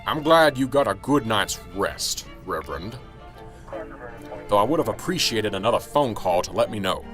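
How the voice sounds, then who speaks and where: A man playing a stressed, gruff police sergeant in a radio drama, blunt and sarcastic. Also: fairly low pitch, animated, normal speed, fairly bright tone, faint music behind. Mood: curt, annoyed.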